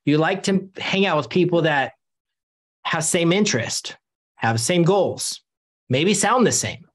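Energetic young man coaching on a call. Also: a little high, unhurried, slightly rough. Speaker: host. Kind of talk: casual sales coaching on a video call. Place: home room with shelves.

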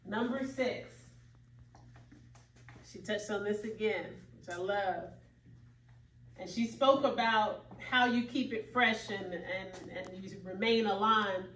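A woman's voice: mid-range voice, a bit bright, slight background noise. A young adult woman giving an emphatic, preacherly keynote speech, at times rising to exclamations. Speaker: keynote speaker. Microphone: far camera at back of room. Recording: echoey hall recorded from far off.

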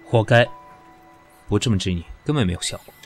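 A man's voice: fairly low pitch, expressive, a little fast, faint music behind. An actor playing a composed, sardonic, stern professional man in an audio drama, dry and commanding. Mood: mocking. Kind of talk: Chinese audio drama acting.

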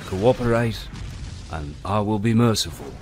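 An actor playing a sly, flippant rogue pirate, droll and wordy, in a video game. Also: male voice, fairly low pitch, animated, a little slow, darkish tone, a little hiss or hum. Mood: mock-stern. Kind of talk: video game cutscene acting.

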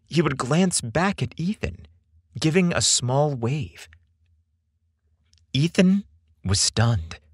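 A man reads a dramatic story at an unhurried pace. His delivery is theatrical and deliberate, with a lively, swinging intonation. The voice is slightly rough and husky, captured close and dry. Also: mid-range voice, no echo. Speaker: podcast storyteller. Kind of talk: scripted narration, dramatic storytelling.

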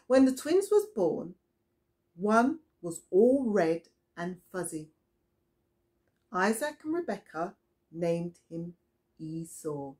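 Slow, expressive middle-aged woman reading to children. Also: fairly low pitch. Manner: deliberate, expressive storytelling with questions to young listeners. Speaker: children's storyteller. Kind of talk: read-aloud children's Bible story. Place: small indoor room with soft toys.

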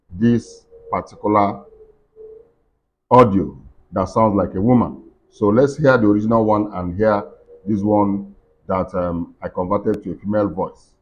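A middle-aged man with a deep voice, giving a step-by-step software tutorial at his computer with plenty of fillers. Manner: explains step by step with many fillers and restarts. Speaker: tech tutorial host. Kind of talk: screen-recorded software walkthrough. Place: indoor room at a computer desk. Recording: dull lo-fi screen recording.

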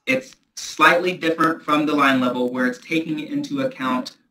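A man presenting a technical analysis at a transit board meeting over a video call, measured and procedural. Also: on the high side, pushed and bright, reverberant, slight background noise, low-quality recording. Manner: measured, procedural, restarts often. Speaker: transit agency staff presenter.

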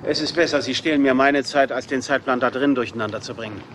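Ein Schauspieler, der einen jungen, zynischen Filmstudio-Chef spricht, knapp und sarkastisch. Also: male voice, medium pitch, a bit bright, slight background noise, lightly acted. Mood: sardonic. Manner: brisk, sardonic, businesslike. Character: young, cynical film studio boss.

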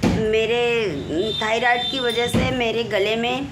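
Hoarse woman chatting in a home vlog. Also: on the high side, takes it slowly, background noise. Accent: Kanpur Hindi. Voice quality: husky, strained voice. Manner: chatty, rambling, clears throat often. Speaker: vlogger. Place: small room at home, indoors. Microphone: phone camera held close, selfie framing.

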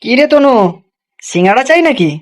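Cartoon part: busy, quick-tempered village shop man played by a woman, high-pitched, expressive. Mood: friendly. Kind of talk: children's cartoon dialogue.

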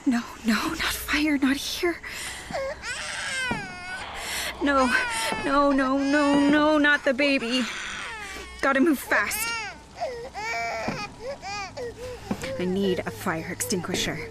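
A high-voiced young woman in a horror game, frightened yet defiant. She slips between shaky muttering and breathy whispers, over clear background noise. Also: animated, measured pace. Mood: tearful panic. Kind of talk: naturalistic horror game acting.

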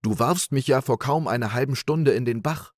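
A man voicing a clever, plucky young peasant in a storybook reading, talking in a raised, lively, boastful way. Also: mid-range voice, normal speed, dry recording, clean studio sound, pitched above the performer's own voice, close to an everyday voice.

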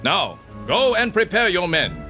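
Stern, imperious army captain. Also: male voice, sits high, huge pitch swings, unhurried, bright, forceful tone, faint music behind, full character voice. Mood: cold, commanding. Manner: clipped, imperious, barking orders. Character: stern, merciless Japanese army captain, contemptuous and commanding. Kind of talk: radio drama acting.

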